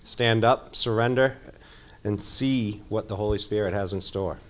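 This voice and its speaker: A man's voice: lowish voice, a little slow, slight background noise. A man speaking earnestly and informally to his church, sharing testimony as he introduces a guest. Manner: earnest, conversational.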